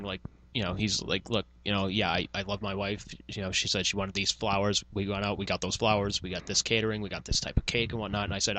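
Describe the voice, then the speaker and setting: A man's voice: lowish voice, sharp tone, a little hiss or hum, low-quality recording. A man chatting casually as a podcast co-host, rambling and swearing freely as he tells stories. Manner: profane, rambling storytelling with frequent filler words. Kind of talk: casual banter.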